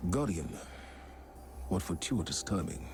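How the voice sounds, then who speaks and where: Low-voiced, grave military commander. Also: male voice, sing-song, over music. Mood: grave, resolute. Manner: measured, formal, authoritative. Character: grave, composed male military commander, diplomatic yet resolute. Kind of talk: video game cutscene acting.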